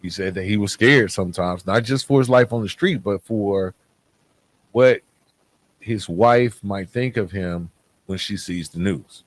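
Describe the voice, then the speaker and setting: A man hosting a podcast, speaking in a reflective monologue with a measured, earnest delivery and dramatic pauses. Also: lowish voice. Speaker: podcast host.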